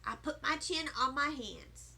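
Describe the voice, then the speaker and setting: Woman, high voice, bright, animated, unhurried, expressive children's audiobook narration. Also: gravelly. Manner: animated, deliberate. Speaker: storybook reader.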